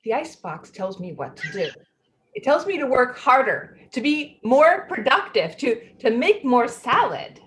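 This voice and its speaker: A woman in a play reading, playing a naive, eccentric woman with halting, repetitive phrasing. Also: sits high, big pitch swings, a bit bright, slight background noise, exaggerated voice. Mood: insistent. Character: naive, eccentric woman upset about a talking icebox. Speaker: actor in a staged play reading. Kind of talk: readers theatre acting.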